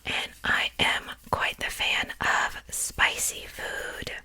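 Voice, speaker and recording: A chatty, faintly haughty witch, acted out by a woman for an ASMR roleplay. She speaks entirely in a breathy whisper with a very bright, sharp edge. Her delivery is unhurried and confiding, as if sharing gossip over her cooking. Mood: musing, haughty.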